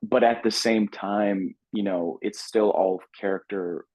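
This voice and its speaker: A man's voice: fairly low pitch, steady tone, measured pace, a little mellow, dry recording. A man chatting casually and informally as a film director in an interview. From Canada. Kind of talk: casual chat.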